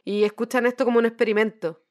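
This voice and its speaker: A woman's voice: a little high, steady tone, quick pace. A woman with a Chilean accent co-hosting a podcast in a casual, colloquial, slangy chat. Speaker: podcast co-host.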